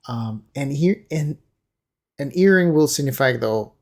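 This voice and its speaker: A man giving casual analytical commentary on a video, hesitant and halting as he thinks aloud. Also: medium pitch, expressive. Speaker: commentator on a game character trailer.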